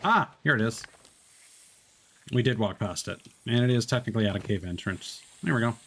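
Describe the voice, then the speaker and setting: A man streaming a video game, chatting along in a relaxed, joking way and laughing often. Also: fairly low pitch, fairly quick, a little hiss or hum, low-quality recording. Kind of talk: casual gameplay commentary.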